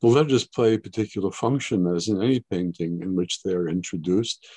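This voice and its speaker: Older male art historian on a webcam: low and dry, measured, explanatory delivery. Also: a little mellow, breathy edge, no echo. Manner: measured, explanatory, occasional hesitations. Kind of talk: online gallery talk. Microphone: webcam on video call.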